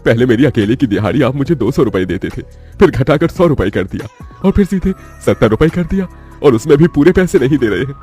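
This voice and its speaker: Man acting a poor, husky, pleading father. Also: mid-range voice, big pitch swings, a little fast, mellow, faint music behind. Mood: pleading protest. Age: middle-aged. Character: poor, anxious, humble labourer father of daughters. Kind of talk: dramatised Hindi moral cartoon story.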